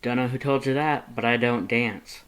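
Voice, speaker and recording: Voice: medium pitch, moderate pace, a bit bright, clean tone, lightly acted. A narrator voicing a guarded, prickly male skeleton monster who talks in a terse, casual way. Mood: strained, dry.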